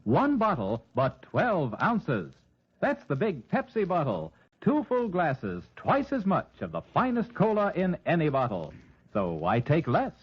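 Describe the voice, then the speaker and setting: Very animated male radio pitchman, vintage recording. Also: unhurried, breathy edge. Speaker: commercial pitchman. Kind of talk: scripted 1940s radio advertisement. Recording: dull noisy old radio recording.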